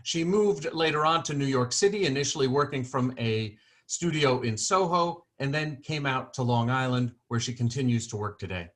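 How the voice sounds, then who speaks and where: A man's voice: mid-range voice, normal speed, few pauses, fairly bright tone. A man hosting an online museum program who speaks in an earnest way, often restarting his questions. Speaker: host. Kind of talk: formal welcome and interview. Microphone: video call.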